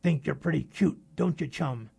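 A man's voice: fairly high pitch, moderate pace, vocal fry, darkish tone, husky, exaggerated voice. A man voicing a huge, menacing beast-man bodyguard who snarls threats. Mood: threatening.

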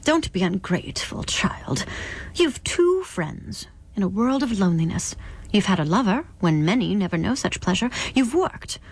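A woman's voice: mid-range voice, very animated, normal speed, slightly rough. Woman voicing a stern, otherworldly female prophet. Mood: stern reproach. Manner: admonishing, solemn, commanding. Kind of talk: dramatic book reading.

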